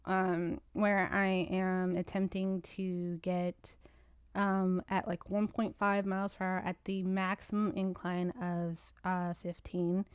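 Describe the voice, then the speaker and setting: A woman's voice: medium pitch, smooth, no room sound, studio quality. A woman hosting her own podcast in casual, rambling chat, sounding stuffy and congested. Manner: rambling, chatty, frequent restarts. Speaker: podcast host.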